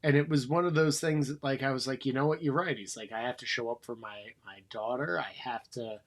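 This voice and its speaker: A man's voice: medium pitch, brisk. American man, candid and conversational. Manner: candid, colloquial, explanatory. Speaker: podcast guest, coach. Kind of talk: casual conversational storytelling.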